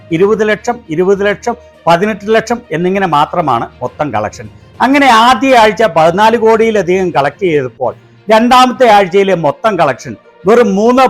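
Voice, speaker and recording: Casting: male Malayali commentary host, high voice with animated intonation, scripted critical delivery over faint music. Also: moderate pace.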